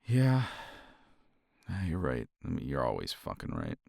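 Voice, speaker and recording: Casting: deep, gravelly-voiced man, tender partner roleplay, lively intonation. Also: unhurried, no room sound, studio quality. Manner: tender, reassuring partner talk to a listener. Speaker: ASMR roleplay performer. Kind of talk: romantic ASMR roleplay, intimate soft talk.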